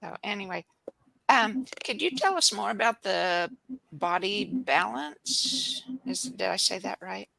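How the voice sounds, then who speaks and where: A woman's voice: medium pitch, expressive, unhurried, creaky, bright, forceful tone, dry recording, low-quality recording. A woman hosting a podcast who asks her questions in a casual, slightly hesitant way and checks herself partway through. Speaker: podcast host. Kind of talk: casual interview chat.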